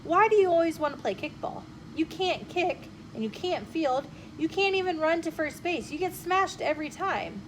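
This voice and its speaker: A confident, fair-minded schoolboy from a storybook, voiced by a woman reader. He is firm and direct, with a raised, lively pitch over faint background noise. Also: normal speed, hardly any pauses. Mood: exasperated.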